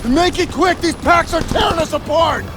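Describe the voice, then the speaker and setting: An actor playing an impatient, abrasive army sergeant in a war game, brusque and urgent. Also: male voice, very high-pitched, moderate pace, noisy background. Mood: curt. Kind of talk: scripted war game dialogue.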